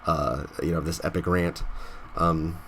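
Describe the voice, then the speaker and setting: A man's voice: deep voice, steady tone, unhurried, fairly bright tone, slight background noise. A man hosting a casual gaming vlog, stuffy from a cold, rambling with many restarts and tag questions. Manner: rambling, restarts often, says "right?". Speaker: gaming channel host.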